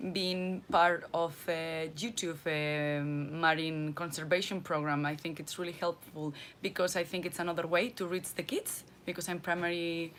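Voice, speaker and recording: A woman from the Country, introducing herself casually in halting, playful English as a new crew member. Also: fairly low pitch, a bit bright, slight background noise. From Basque Country. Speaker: crew member, primary school teacher.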